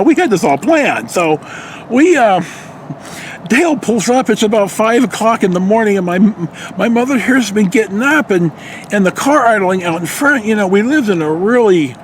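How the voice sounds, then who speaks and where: Older American man, sixties, high lively voice, rambling casual storytelling, salty language, clear outdoor traffic noise behind. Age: early 60s. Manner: rambling, salty-tongued, often sidetracked. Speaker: vlogger telling personal stories. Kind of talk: casual anecdotal storytelling to camera.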